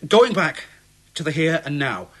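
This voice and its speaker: A man's voice: fairly high pitch, big pitch swings, a little slow. An actor playing a police inspector who asks probing questions hesitantly and stumbles over his words. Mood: puzzled. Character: cautious, puzzled police inspector. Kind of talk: radio drama acting.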